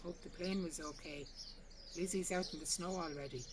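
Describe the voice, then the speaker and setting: A woman's voice: on the low side, moderate pace, very noisy, lightly acted. A woman voicing a tired young mother who coaxes her little daughter in a storybook reading. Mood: casual, apologetic. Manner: coaxing, motherly questions and gentle orders. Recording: outdoor garden, distant mic, loud birdsong.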